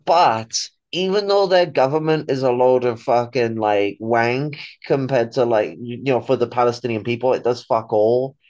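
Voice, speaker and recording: A man's voice: mid-range voice, moderate pace, hardly any pauses, a little mellow. A young adult man in casual political discussion, rambling and swearing freely. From Ireland. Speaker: political forum guest. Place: small room at home, video call. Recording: dull lo-fi call audio.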